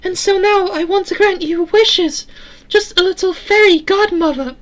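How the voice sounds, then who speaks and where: A man voicing a little female robot, kind and coaxing, in a tinny, soft girlish falsetto for a storybook reading. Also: normal speed. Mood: sweetly coaxing. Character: tinny little female robot, sweet fairy-godmother wish granter.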